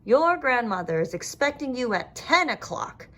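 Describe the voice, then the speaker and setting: A woman's voice: fairly high pitch, sing-song, moderate pace, fairly bright tone, pitched above the performer's own voice. A woman voicing a loving, nagging storybook mother who calls out in an exclaiming, sing-song way. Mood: urgent reminding. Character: Ted's loving, nagging storybook mom calling out.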